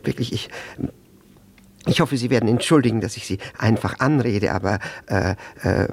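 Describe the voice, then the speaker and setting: An actor playing a nervous, jealous, self-important Russian official in a German radio play, stammering and repeating himself. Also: male voice, on the low side, normal speed, slight background noise, lightly acted. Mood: nervous, apologetic. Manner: stammering, repeats words, breaks off.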